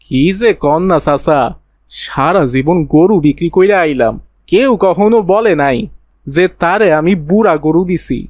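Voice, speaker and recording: A man's voice: fairly high pitch, measured pace, darkish tone, dry recording, clean studio sound, lightly acted. A man voicing a persuasive cattle seller in a cartoon, with a rural Bangladeshi dialect and a coaxing, salesmanlike manner. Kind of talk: cartoon voice acting.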